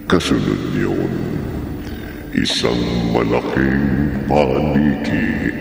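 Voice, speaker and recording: A sinister horror storyteller with a Filipino accent, extremely deep and crawling along very slowly. The voice booms in long, echoing reverb over music, ominous and theatrical, built for radio drama. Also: male voice, animated, vocal fry, heavily acted. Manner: slow, ominous, drawn-out.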